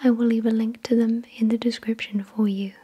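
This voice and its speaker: A woman whispering softly and breathily in ASMR style, reading aloud in a deliberate, measured way. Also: on the high side, level tone, breathy edge. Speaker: ASMR video creator and host. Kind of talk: soft-spoken ASMR, reading card text aloud.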